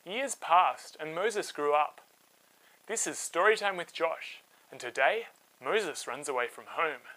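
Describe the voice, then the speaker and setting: Bright, very animated male storyteller. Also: on the high side, measured pace, slight background noise, rough recording. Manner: dramatic, expressive delivery. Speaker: storyteller and host. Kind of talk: animated scripted children's Bible storytelling.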